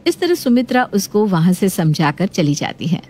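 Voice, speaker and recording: A woman's voice: mid-range voice, very animated, normal speed, darkish tone, soft background music. A woman narrating a scripted family story as a storyteller.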